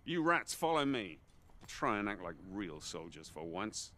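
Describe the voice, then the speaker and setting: Blustering, swooping army major. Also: male voice, fairly low pitch, very animated, measured pace, pushed and bright, exaggerated voice. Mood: scornful. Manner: haughty, scolding.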